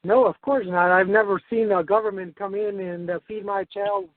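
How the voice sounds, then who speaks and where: A man's voice: sits high, steady tone, moderate pace, dry recording. A man calling in for advice, raised in the United States, Spain and Mexico, explaining himself casually and hesitantly with repeated phrases. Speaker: caller seeking advice. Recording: narrow-band phone line.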